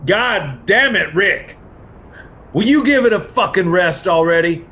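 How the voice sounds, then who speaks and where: High-pitched, hot-tempered survivor played by a man. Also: lively intonation, unhurried, full character voice. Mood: angry, fed up. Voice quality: loud, exasperated delivery. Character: hot-tempered, foul-mouthed male survivor, fed up. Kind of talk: table-read drama acting.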